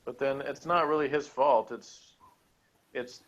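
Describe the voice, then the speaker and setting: A man's voice: medium pitch, steady tone, unhurried, fairly bright tone. Sarcastic man on a dull lo-fi recording. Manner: sarcastic, mock-exasperated. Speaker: co-host reviewing TV episodes. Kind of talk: casual chat, episode review.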